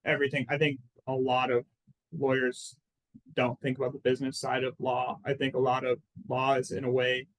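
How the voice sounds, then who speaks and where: A man in his thirties from Phoenix, a lawyer guest talking in a relaxed, chatty way, full of "like" and "I mean". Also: mid-range voice, moderate pace, rough recording. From Phoenix, Arizona. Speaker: personal injury lawyer, guest. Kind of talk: casual interview answers.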